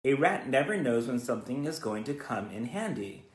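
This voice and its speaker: A man voices a smug, surly barn rat from a storybook, a hoarder pleased with himself. The voice sits at a middling pitch in a roomy space. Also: sing-song, normal speed, natural voice. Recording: roomy, echoey sound.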